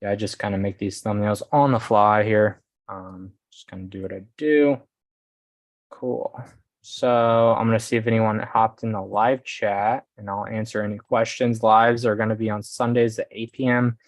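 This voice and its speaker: A man's voice: on the low side, fairly even intonation, moderate pace, no echo. A man hosting a casual coaching livestream, rambling along and often checking in with his listeners. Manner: rambling, often tags sentences with "okay" and "guys". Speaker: host of a business coaching livestream.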